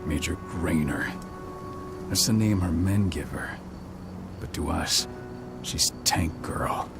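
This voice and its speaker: A proud, wounded pack leader in a game cutscene. His voice is low and grave, with weary, measured authority. Music plays clearly behind him. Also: heavily acted. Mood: grim. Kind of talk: video game cutscene acting.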